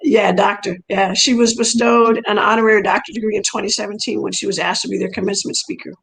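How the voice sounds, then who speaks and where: A woman's voice: medium pitch, steady tone, fast talker, gravelly, fairly bright tone, rough recording. A middle-aged woman whose first language is French, a track coach telling her story conversationally as an interview guest in a chatty, rambling way that repeats phrases for emphasis.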